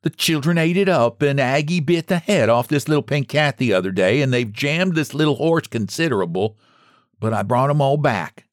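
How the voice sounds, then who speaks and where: A man's voice: medium pitch, lively intonation, normal speed, no room sound, studio quality, pitched above the performer's own voice, lightly acted. A man voicing a poor, remorseful old countrywoman who speaks in a rustic country dialect. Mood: confessing.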